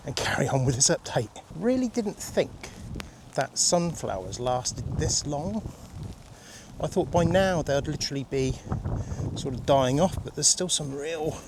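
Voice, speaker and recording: Husky-voiced man in his fifties with a cold. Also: medium pitch, noisy background. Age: 50s. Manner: chatty, rambling, laughs easily. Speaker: vlogger. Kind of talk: casual walking vlog. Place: outdoors on a rainy countryside walk.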